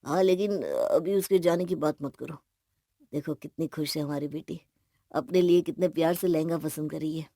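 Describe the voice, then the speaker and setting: A woman's voice: deep voice, normal speed, mellow, dry recording. Woman as sorrowful middle-aged dad, tender and pleading, family audio drama, dry close sound. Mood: subdued, pleading. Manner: pleading, sentimental.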